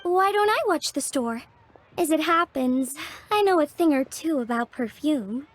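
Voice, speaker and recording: A woman's voice: high-pitched, expressive, a little slow. A woman playing a poised, confident craftswoman in a video game. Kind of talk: English video game voice acting.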